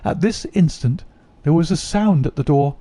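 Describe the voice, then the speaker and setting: A man's voice: a little high, moderate pace, warm tone, no room sound, voice pushed up, close to an everyday voice. A man voicing a troubled young noblewoman who tells her story in an earnest, confessional way. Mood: remorseful.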